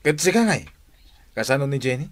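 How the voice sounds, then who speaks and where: A man's voice: medium pitch, very animated, brisk, natural voice. An actor with a Filipino accent playing a teasing but troubled young man confiding in his buddy in a radio drama.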